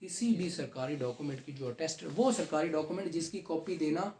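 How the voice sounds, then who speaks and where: A man teaching a law class, reading legal text slowly and then explaining it conversationally to students. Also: on the high side, darkish tone, slight background noise. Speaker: law lecturer. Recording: echoey classroom.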